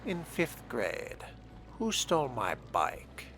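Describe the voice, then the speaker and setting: Man playing a slow, gravelly vampire fledgling. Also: mid-range voice, wildly swooping pitch, music in the background, heavily acted. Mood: curious. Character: wry, curious newly made male vampire fledgling. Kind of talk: role-play game dialogue.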